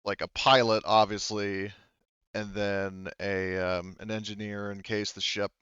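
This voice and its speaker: A man narrating a tabletop roleplaying game as game master, in halting phrases with frequent self-corrections. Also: fairly low pitch, little pitch movement, fairly bright tone, clean tone, dry recording, muffled recording.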